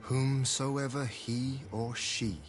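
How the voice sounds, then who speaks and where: An actor playing a proud, dignified lord in a video game, speaking in a formal and stately manner. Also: male voice, mid-range voice, fairly even intonation, slow pace, over music, natural voice. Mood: proud, resolute. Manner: stately, formal, archaic phrasing. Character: proud, dignified male lord, gracious and composed. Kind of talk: scripted game dialogue.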